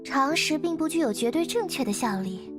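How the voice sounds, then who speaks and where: A woman's voice: high voice, animated, a little fast. A woman voicing a playful, philosophical young girl in a visual novel, speaking in a teasing, theatrical, musing way. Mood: thoughtful. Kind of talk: visual novel character voice acting in Mandarin. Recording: loud music over the voice. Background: loud background music.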